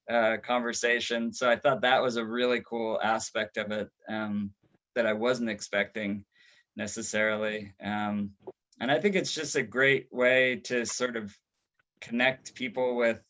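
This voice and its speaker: A man who is a scientist, talking casually about a recorded conversation, relaxed and full of fillers. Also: medium pitch. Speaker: scientist and podcast guest. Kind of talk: casual reflective chat.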